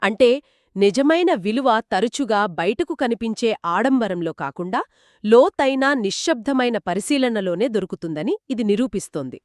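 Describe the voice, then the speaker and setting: A woman's voice: a little high, expressive, moderate pace, no echo, studio quality. A woman co-hosting a conversational discussion of a story, explaining it as a storyteller would. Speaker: podcast co-host.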